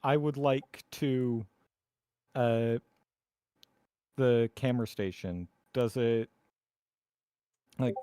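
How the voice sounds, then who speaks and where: A man talks casually as a player in a tabletop game. His delivery is slow, halting and wry, at an average pitch and with very little room sound. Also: dark tone, clean tone, no room sound, studio quality.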